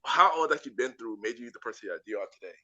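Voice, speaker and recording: Bright-voiced man podcast host. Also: medium pitch, fast, lo-fi sound. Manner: repeats and restarts phrases, relaxed slangy talk. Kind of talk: casual interview chat.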